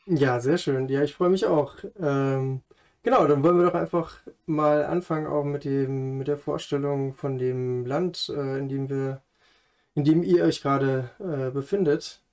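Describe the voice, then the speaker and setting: A man hosting a conversational podcast, speaking in a hesitant, halting way. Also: a little high, fairly even intonation, a little mellow. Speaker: podcast host.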